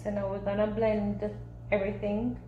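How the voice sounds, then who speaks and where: A woman giving a casual, step-by-step home recipe demonstration in simple, unhurried instructional talk. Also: mid-range voice, flat delivery, narrow, tinny audio. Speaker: home cooking vlogger. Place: small home kitchen, indoors. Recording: roomy echo, clear background noise.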